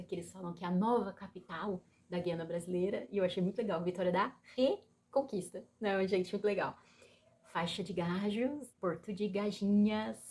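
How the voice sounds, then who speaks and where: A Brazilian woman with a Brazilian Portuguese accent, chatting to camera on her channel, bouncing between excited bursts and soft, earnest asides. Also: mid-range voice. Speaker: YouTuber. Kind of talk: casual chat to camera.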